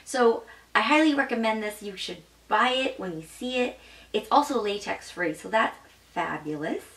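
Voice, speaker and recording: A woman's voice: mid-range voice, lively intonation, normal speed, fairly bright tone, echoey room, distant mic, rough recording. Bubbly young woman reviewing makeup. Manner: bubbly and gushing, demonstrating makeup while talking. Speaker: beauty vlogger. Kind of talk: casual product review. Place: home makeup room indoors.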